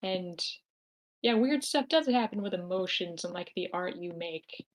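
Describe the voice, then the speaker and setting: A woman's voice: fairly low pitch, expressive, a little slow. A woman talking casually in an online group discussion, searching for words as she explains her thoughts. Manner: informal, searching for words, mild swearing. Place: online meeting.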